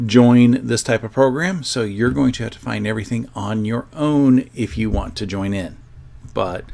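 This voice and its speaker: A man's voice: lowish voice, vocal fry. A man hosting a sewing livestream, chatting casually to his viewers in a rambling, self-joking way.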